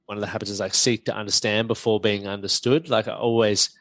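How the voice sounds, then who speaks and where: Laid-back man, lowish voice. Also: fast talker, dry recording. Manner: relaxed, upbeat, chatty. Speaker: podcast host. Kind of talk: casual conversational interview.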